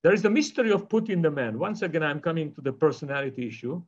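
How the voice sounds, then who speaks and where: An older man delivers an online lecture over a webcam from a bookshelf-lined study. His voice sits a little high for a man, and he wanders through his points with frequent fillers and restarts. The call audio sounds somewhat muffled and lo-fi. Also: fast talker, husky. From Israel. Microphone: video call webcam. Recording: dull lo-fi video call audio.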